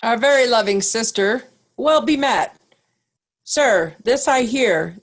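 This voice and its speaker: A woman's voice: on the high side, animated, slow pace. A woman playing a formal, grave duke addressing allies in a Shakespeare reading. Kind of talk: readers' theatre Shakespeare.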